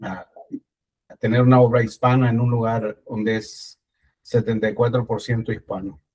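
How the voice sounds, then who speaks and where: A man from El Salvador, a church planter, introducing himself haltingly on a class video call. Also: medium pitch, little pitch movement, darkish tone, low-quality recording.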